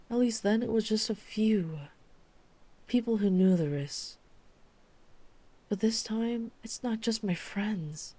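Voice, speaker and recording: A woman playing a tormented, guilt-ridden vampire hunter in an intimate ASMR audio drama, halting with dramatic pauses. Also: mid-range voice, warm tone. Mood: guilty, despairing. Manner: slow, halting, dramatic pauses, breathy sighs.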